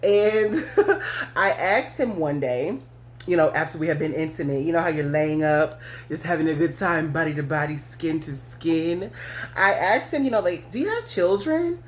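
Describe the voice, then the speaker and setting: Podcast host, woman, low register, frank storytelling with frequent laughs and swearing, faint background hum. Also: moderate pace. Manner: candid and blunt, cursing, laughing often mid-story. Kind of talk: casual storytelling to listeners.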